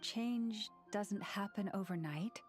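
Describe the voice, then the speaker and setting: An actress voicing a calm, solemn priestess with antlers, speaking formally in game dialogue. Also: female voice, medium pitch, takes it slowly, close to an everyday voice. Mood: gentle. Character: serene antlered female priestess, gentle and solemn. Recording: game audio with faint music behind.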